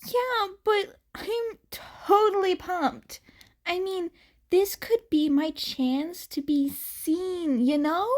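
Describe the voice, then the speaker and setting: A woman's voice: very high voice, slow pace, pitched above the performer's own voice. A woman voicing an upbeat, loyal teenage girl with an eager, bubbly way of talking.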